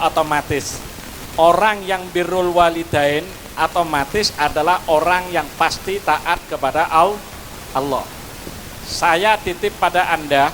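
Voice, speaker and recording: A man's voice: on the high side, a bit bright, a little hiss or hum, poor audio. A man from Madura with a Madurese accent, giving a preachy school graduation speech outdoors to parents and students. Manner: preachy, addresses listeners with Javanese honorifics. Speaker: school speaker.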